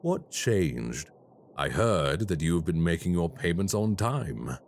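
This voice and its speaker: A man voicing a cold, calculating crime boss in an audio roleplay, speaking in a slow, measured and formally menacing way. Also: sits low, big pitch swings, gravelly, a little hiss or hum, exaggerated voice. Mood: probing, cool.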